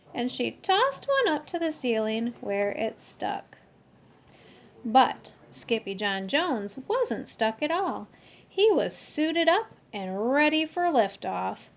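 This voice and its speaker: A woman reading a children's picture book aloud expressively, deliberately stressing key words. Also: a little high. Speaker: story reader.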